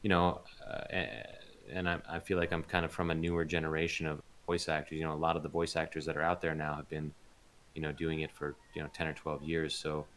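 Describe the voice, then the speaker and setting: A man's voice: very deep voice, monotone, a little fast, fairly bright tone, slight background noise. A man chatting casually as a voice actor being interviewed.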